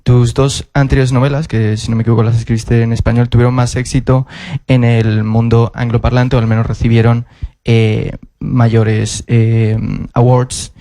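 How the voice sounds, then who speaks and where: A man's voice: medium pitch, flat delivery, moderate pace, dark tone, dry recording, studio quality. A man with a Spanish accent from Spain asking long, carefully built questions from the audience, restarting often. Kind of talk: formal question from the floor. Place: auditorium.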